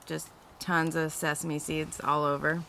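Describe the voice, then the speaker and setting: A young woman from upstate New York, a baker, chatting plainly and relaxed about her bagels in an interview. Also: sits low, measured pace, gravelly, slight background noise. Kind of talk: casual interview chat.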